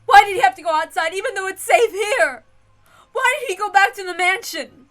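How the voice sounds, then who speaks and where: A woman voicing a panicked, hysterical, feverish wife who wails and sobs for her husband in a frantic, shrill voice, in a story reading. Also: expressive, fairly bright tone. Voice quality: shrill, wailing. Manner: frantic, sobbing, drawn-out pleading.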